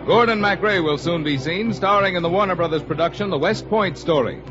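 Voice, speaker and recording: A man's voice: high-pitched, fairly quick. An American man announcing in scripted 1950s network radio style. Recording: dull old radio broadcast recording. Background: music.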